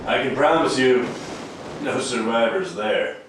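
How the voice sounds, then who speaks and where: A man's voice: medium pitch, expressive, measured pace, a bit bright. An actor playing an ominous homeless man in an audio drama. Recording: very echoey with noisy ambience.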